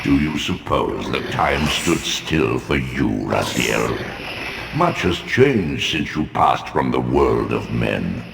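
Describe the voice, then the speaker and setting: An actor playing an ancient godlike spirit mentor in a video game, with a deep, gravelly voice and slow, grave, oracular delivery. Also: male voice, sing-song, heavily acted. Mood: grave, foreboding. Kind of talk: dramatic video game voice acting. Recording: long cavernous reverb with music.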